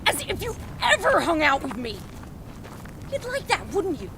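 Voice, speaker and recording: A very shrill-pitched, volatile young woman who has taken a captive in an audio drama. She is bitter and jealous, snapping orders and grievances with big swings of pitch, over noisy ambience. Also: wildly swooping pitch, moderate pace, exaggerated voice. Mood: bitter fury. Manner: snappish, aggrieved, commanding.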